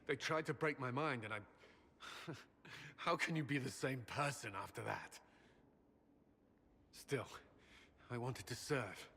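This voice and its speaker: This is a tormented soldier commander in a video game, his tense, bright voice straining and breaking off mid-thought. A long reverb trails behind him over faint ambient sound. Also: on the low side, slight background noise, heavily acted. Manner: strained, halting, intense. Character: troubled male soldier commander, haunted and guilt-ridden.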